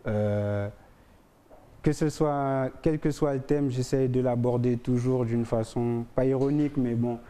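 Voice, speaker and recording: A man, a music artist, answering questions in a relaxed, hesitant way. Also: mid-range voice, unhurried, clear, resonant tone. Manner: relaxed, hesitant, searches for words. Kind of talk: casual interview answers.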